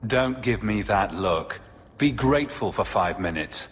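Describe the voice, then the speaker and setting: A young fantasy game hero, wry and steady. His voice is fairly low with lively inflection, set in long reverb. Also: unhurried. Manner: wry, matter-of-fact. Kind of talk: fantasy video game voice acting. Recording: long reverb, music behind. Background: music.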